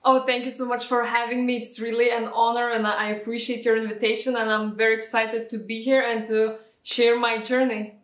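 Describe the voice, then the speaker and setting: Woman, Lithuanian accent, fairly high voice, echoey room, distant video-call audio, chatty with fillers. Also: flat delivery, a little fast, few pauses, fairly bright tone. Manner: chatty, frequent fillers and restarts. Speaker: guest, real estate agent. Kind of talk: casual interview answers.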